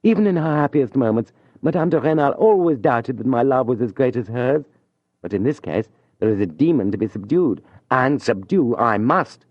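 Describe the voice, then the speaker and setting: A man's voice: medium pitch, animated, normal speed, no room sound, voice pushed up, natural voice. A man playing a proud, ardent young man. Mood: scheming. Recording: dull dry studio audio.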